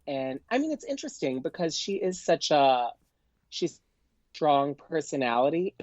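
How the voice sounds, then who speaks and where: A man speaks as a podcast guest in casual, somewhat tentative conversation. His pitch is average, and the recording is dry but a bit dull and lo-fi. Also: darkish tone, no echo. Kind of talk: casual chat.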